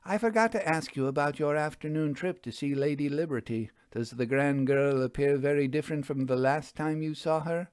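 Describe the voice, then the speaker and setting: Storybook lady sleuth played by a man: lifted pitch, emphatic, inquisitive, theatrical, old-fashioned wording. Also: normal speed, hardly any pauses, a little mellow, clear, resonant tone, no room sound, studio quality, close to an everyday voice. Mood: wry. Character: forthright, theatrical woman ghost investigator, wry and inquisitive.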